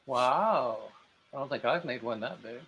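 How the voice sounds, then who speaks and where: A man's voice: mid-range voice, lively intonation, a little slow, highs cut off, crowd noise. A man hosting an interview over a video call, chatty and playful, with plenty of fillers. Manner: chatty, jokey, many fillers. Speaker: interview show host. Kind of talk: casual chat interview.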